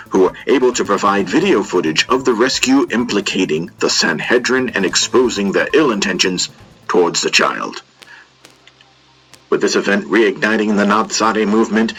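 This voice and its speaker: A man playing a formal, measured news broadcaster reading a scripted bulletin. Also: on the low side, a bit bright, low-quality recording. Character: formal male news broadcaster reporting a rescue.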